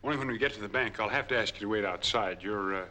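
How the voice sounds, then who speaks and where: An actor playing a smooth-talking American drifter in 1940s film noir style, glib, wry and persuasive. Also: male voice, lowish voice, fast, sharp tone. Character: smooth-talking male drifter angling to claim money. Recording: noisy old 1940s film soundtrack.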